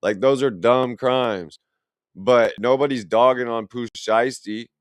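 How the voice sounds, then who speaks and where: Man, young, smooth clear tone, blunt profane ranting. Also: mid-range voice, fairly even intonation, slow pace, no room sound. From Illinois, USA. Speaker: reaction streamer. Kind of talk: casual reaction commentary.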